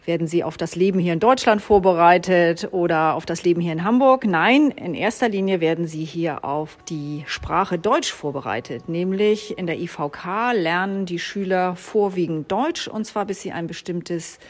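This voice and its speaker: A school principal explains a class program in a calm, measured way, pausing now and then to find her words. Her voice sits a little low for a woman. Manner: measured explaining with occasional hesitations. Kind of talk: explanatory interview answer.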